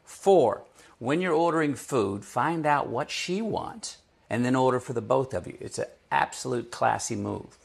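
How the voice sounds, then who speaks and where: Casting: male actor as a swaggering high school smooth-talker, gravelly edge, very animated emphatic delivery. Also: heavily acted. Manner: emphatic, showy, instructing. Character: cocky smooth-talking teenage boy, self-styled ladies' man. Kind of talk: comic screenplay table read.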